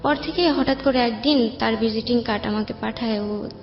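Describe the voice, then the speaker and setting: Higher-pitched Bangladeshi woman, echoey recording. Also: moderate pace. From Bangladesh, raised in Dhaka. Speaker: guest telling life story. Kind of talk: personal storytelling in an interview. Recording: muffled, echoey room with music bed.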